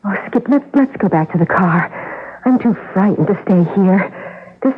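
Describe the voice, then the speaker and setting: An actress playing a frightened, pleading, easily spooked wife in a radio mystery drama. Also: female voice, medium pitch, lively intonation, normal speed, breathy edge. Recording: tinny old radio broadcast, music underneath.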